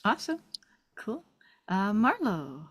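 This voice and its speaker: A woman's voice: mid-range voice, sing-song, slow pace, slightly rough. A woman hosting in a casual chat style, giving brief prompts. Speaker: host.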